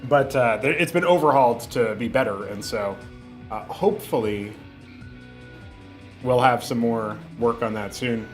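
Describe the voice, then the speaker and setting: A man's voice: mid-range voice, a little slow, soft background music. A young man chatting casually on a livestream, rambling with fillers and restarts, with a lingering cough. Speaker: streamer.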